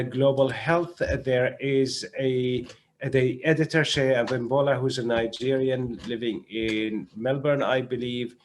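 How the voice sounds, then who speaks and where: A man with a mid-range voice speaks as an expert panelist on an online call. He explains in a measured, thoughtful way, often restarting and repeating words to press his point. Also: mid-range voice. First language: not French (French is third language). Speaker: panelist, doctor. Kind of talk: panel discussion, spontaneous explanation. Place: online video conference.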